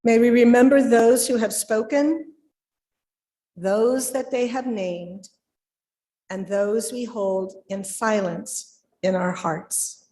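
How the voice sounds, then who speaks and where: A woman's voice: on the high side, fairly even intonation, slow, dark tone. A woman speaking as worship leader at a live church service, addressing the congregation. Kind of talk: sharing and leading at a church service. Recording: faint background noise, live room.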